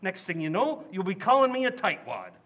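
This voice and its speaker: A man performing a blustering, tight-fisted family man in an old-time radio comedy, loud and indignant. The voice sits high and swings widely, with a bright, forceful edge. The recording sounds dull and lo-fi. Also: very animated, brisk, full character voice. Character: blustering stingy working-class dad. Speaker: radio actor.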